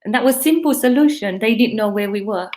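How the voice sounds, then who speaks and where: A woman's voice: medium pitch, fairly quick, darkish tone, slight background noise. A middle-aged woman from East Africa with a mixed East African and English accent, talking earnestly and reflectively as a guest in a casual interview. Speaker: guest, pharmacy owner.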